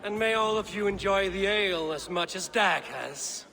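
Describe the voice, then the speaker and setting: An actor playing a proud, steady Viking clan leader in a video game, speaking with formal, measured oratory. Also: male voice, sits high, vocal fry, full character voice. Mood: proud, rousing. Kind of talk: video game cutscene acting. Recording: long hall reverb, music behind.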